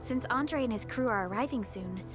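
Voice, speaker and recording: A woman's voice: on the high side, normal speed, lightly acted. A woman voicing a composed, analytical young supervisor in video game story dialogue. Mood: composed, decisive. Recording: music behind the voice.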